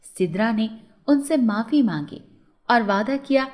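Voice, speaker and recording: A woman's voice: fairly high pitch, animated, unhurried, darkish tone. A woman narrating a scripted children's moral story as a storyteller.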